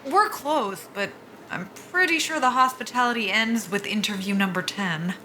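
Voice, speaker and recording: A woman's voice: mid-range voice, moderate pace, bright, forceful tone, slight background noise, close to an everyday voice. An actress playing a wry, down-on-her-luck young military pilot in a naturalistic audio drama. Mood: wry, weary.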